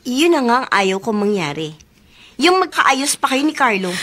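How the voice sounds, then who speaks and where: A woman's voice: a little high, big pitch swings, moderate pace, a bit bright. A woman acting in a Filipino radio romance drama as a lovelorn young woman, pleading and emotionally intense. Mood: hurt, reproachful. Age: young adult. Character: lovelorn young woman secretly in love with her best friend.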